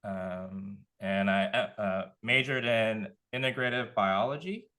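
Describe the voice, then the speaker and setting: A man with a lowish, bright and forceful voice talks about his path into his field. He speaks casually, pausing with frequent ums and uhs as he thinks aloud. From Contra Costa County, California. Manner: relaxed, many fillers, thinking aloud. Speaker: panelist, nonprofit director. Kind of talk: casual career talk.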